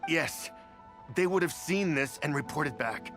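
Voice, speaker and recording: A flustered henchman caught snooping in a video game. The voice is high and sharp, defensive and anxious, with light music underneath. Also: animated, unhurried. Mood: anxious, pleading. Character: nervous, cornered male underling sent to spy. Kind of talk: scripted video game dialogue.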